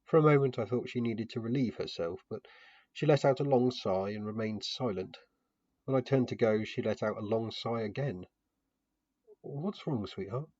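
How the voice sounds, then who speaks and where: A man's voice: fairly low pitch, normal speed, a little mellow, dry recording. A man narrating a story dramatically in the first person, in a muffled home recording. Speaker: narrator of a fantasy fiction podcast.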